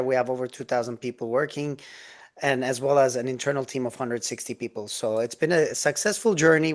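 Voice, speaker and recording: A man, a guest on a business podcast, telling his story in an earnest, eager way over a video call. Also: medium pitch. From Turkey. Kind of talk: interview storytelling.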